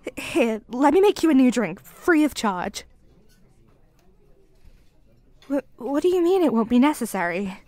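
A woman's voice: high-pitched, sing-song, fairly quick, darkish tone, no room sound. A woman playing a clumsy, flustered young barista who stammers and apologizes in an audio roleplay. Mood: shy, flustered. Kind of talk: ASMR roleplay acting.

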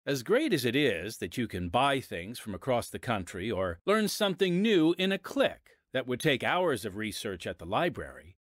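A man's voice: medium pitch, sing-song, moderate pace, hardly any pauses, no room sound, studio quality. A man hosting and narrating a scripted audio drama podcast with a dramatic storyteller's delivery. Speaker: host and narrator.

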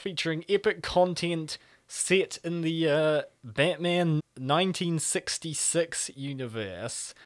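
A man's voice: a little high, slow pace, few pauses, no echo, studio quality. A man hosting a comic reading with casual, sarcastic comedic commentary. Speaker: host and comic reader. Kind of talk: casual comedic commentary and mock-dramatic narration. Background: music.

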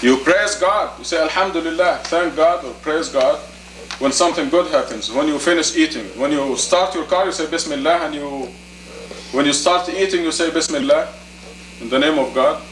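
A man in his fifties giving a sermon as an imam, deliberate and emphatic, in short phrases and rhetorical questions. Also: a little high, a bit bright. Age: 50s. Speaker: imam, preacher. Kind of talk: sermon, teaching plainly to a congregation. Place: indoor meeting room. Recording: roomy, distant camcorder audio with faint noise.

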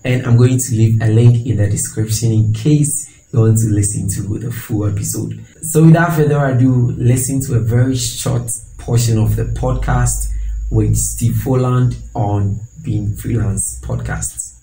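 Young ghanaian guy talking to camera, lapel mic, dark mellow voice, roomy echo, earnest storyteller. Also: mid-range voice, unhurried, slight background noise. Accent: Ghanaian English. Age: young adult. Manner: earnest, storytelling with hand gestures. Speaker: freelance designer and video creator. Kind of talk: casual talking-head video. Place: small home room with shelves. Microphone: clip-on lapel mic.

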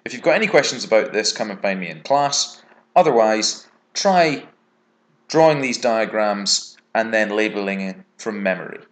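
A man with a British accent, a geography teacher narrating an exam revision lesson over diagrams in a measured, instructive way. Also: fairly low pitch, rough recording. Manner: measured, instructive, lively intonation. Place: voice-over recorded over slides.

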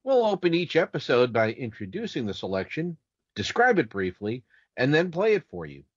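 Dull-sounding recording, lively man co-hosting a podcast. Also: mid-range voice, normal speed, husky, no echo. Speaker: podcast co-host. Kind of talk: scripted podcast introduction. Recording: dull, dry lo-fi microphone sound.

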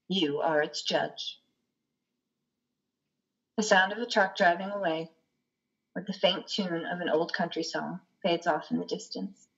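A middle-aged woman as a play's solemn narrator, deliberately reading stage directions and scripture. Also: on the low side, a bit bright. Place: lamp-lit room at home. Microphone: computer mic on a video call. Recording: muffled video-call audio.

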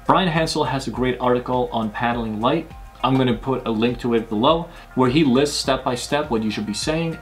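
A man in his thirties giving clear, step-by-step instruction to camera as a video host. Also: mid-range voice. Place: small indoor studio room.

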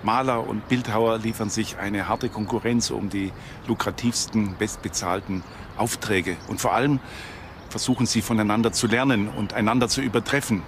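A man's voice: fairly low pitch, fairly even intonation, normal speed. A man speaking as an expert presenter, explaining in his own words. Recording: noisy location sound. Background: ambient noise.

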